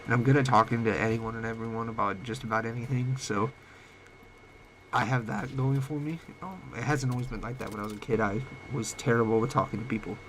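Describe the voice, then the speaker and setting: A man's voice: medium pitch, steady tone, a bit bright, low-quality recording. A young man with a cold talks late at night, his voice cracking now and then. He rambles and halts, full of fillers and restarts, into a home mic whose volume rises and falls. Faint hiss sits under the voice. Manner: rambling, halting, many fillers. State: sick, voice cracking. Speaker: vlogger. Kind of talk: late-night personal talk. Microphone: home microphone, uneven volume.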